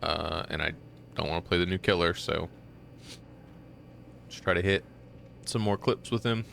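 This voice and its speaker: Very low, gravelly, nasal streamer guy. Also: moderate pace, slight background noise. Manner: rambling, relaxed, many fillers. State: congested and just woke up. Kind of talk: casual gameplay commentary.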